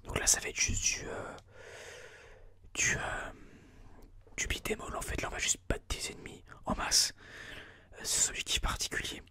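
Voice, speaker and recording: Whispered ASMR gaming commentary, bright and breathy. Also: normal speed, long pauses, no echo. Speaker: ASMR gaming video host. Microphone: close binaural microphone.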